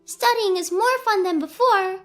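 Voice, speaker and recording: A woman voicing a bright, eager young schoolgirl who speaks in an earnest, frank way in a narrated family story. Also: very high-pitched, normal speed, faint music behind, pitched above the performer's own voice.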